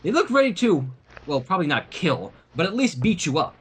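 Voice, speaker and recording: A man's voice: high-pitched, big pitch swings, fairly quick, fairly bright tone, breathy edge, full character voice. A man voicing an exasperated female pony princess in a storybook, scolding with sarcasm.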